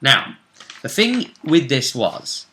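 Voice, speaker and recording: Male game master, bright forceful voice, casual recap of tabletop play, echoey room. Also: mid-range voice, very animated, slow pace. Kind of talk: casual chat, recounting game events. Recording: roomy, echoey sound.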